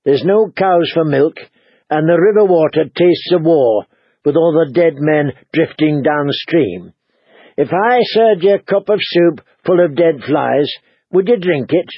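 A man's voice: on the high side, animated, measured pace, breathy edge, dry recording, highs cut off, pitched above the performer's own voice, heavily acted. A man voicing a stern, bossy middle-aged woman who runs an inn, talking in a blunt, commanding way.

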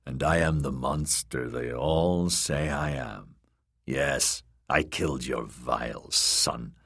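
A man's voice: extremely low pitch, slow, vocal fry, a bit bright, pitched below the performer's own voice, heavily acted. A man voicing a spiteful male dwarf who snarls in a voice thick with malice. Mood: snarling.

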